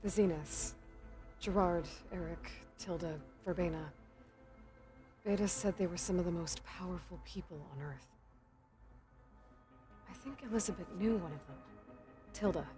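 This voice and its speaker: A woman's voice: lowish voice, measured pace, long pauses, mellow, natural voice. An actress voicing a determined young woman hunter who questions an AI in a thoughtful, dry tone over game music. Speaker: game protagonist.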